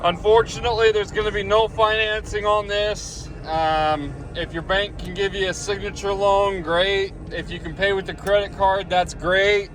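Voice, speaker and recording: A man giving a casual walkaround sales pitch as a truck seller, plain-spoken and in short clipped phrases. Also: little pitch movement, moderate pace, hardly any pauses. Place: outdoors around a truck and inside it while driving.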